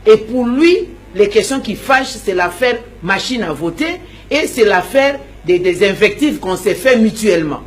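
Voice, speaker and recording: Husky-voiced politician, a woman, forceful and repetitive, stumbles on words. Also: mid-range voice, very animated, normal speed, few pauses. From Democratic Republic of the Congo. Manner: emphatic, repeats words, stammers while searching. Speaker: opposition politician. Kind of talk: interview answers.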